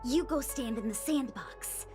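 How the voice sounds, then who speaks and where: A woman's voice: a little high, unhurried, a little mellow, soft background music, lightly acted. A woman voicing a cheeky, easygoing young diviner girl in a video game, casual and confident. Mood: confident, bossy. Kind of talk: anime-style game cutscene acting.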